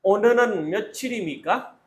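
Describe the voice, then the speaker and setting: A man's voice: high voice, lively intonation, a little slow. A man acting as a Korean exam interviewer, giving short instructional commands and set questions.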